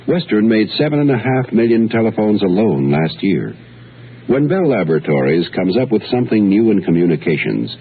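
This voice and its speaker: A man's voice: low voice, breathy edge. An American man working as a radio announcer, reading a vintage commercial in a scripted narration style. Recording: muffled, noisy vintage radio broadcast.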